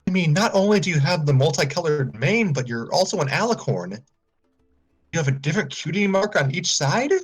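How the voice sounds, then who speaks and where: A man voicing a polite, earnest young female pony in a halting, girlish way. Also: a little high, animated, slightly rough, soft background music, voice pushed up. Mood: impressed, curious.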